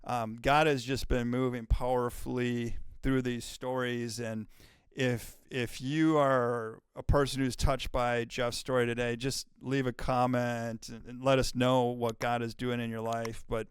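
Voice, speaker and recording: A man's voice: mid-range voice, level tone, unhurried, clean tone, no echo, studio quality. A middle-aged man hosting an interview in a chatty, enthusiastic way, rambling with frequent restarts. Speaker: host.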